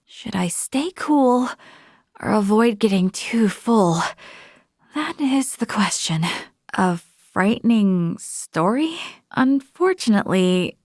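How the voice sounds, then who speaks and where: A woman's voice: medium pitch, no echo, studio quality. A woman playing an earnest, polite young female space officer in a video game, speaking in a formal, thoughtful way. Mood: weary from heat. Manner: measured, formal, thoughtful delivery. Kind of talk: scripted video game voice acting.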